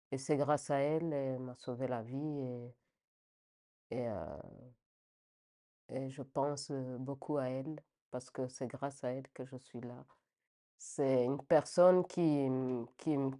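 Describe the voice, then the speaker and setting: Woman, middle-aged, deep mellow register, hesitant reflective delivery, unscripted personal testimony. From Rwanda. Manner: hesitant, halting, reflective phrasing with repeated words. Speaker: interviewee giving a personal testimony.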